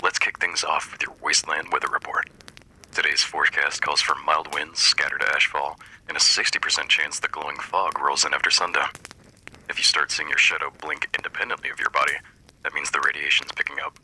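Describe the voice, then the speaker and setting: A man's voice: extremely low pitch, little pitch movement, a little fast, bright, forceful tone, slightly rough, exaggerated voice, low-quality recording. A man playing a friendly, wry post-apocalyptic radio host who speaks intimately to the listener in scripted DJ patter.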